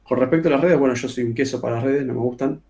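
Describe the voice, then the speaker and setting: A man's voice: medium pitch, racing, gravelly, dark tone. A man with a Rioplatense Spanish accent, an author talking casually in an interview, colloquial, with many filler sounds and restarts. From Argentina. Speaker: author guest.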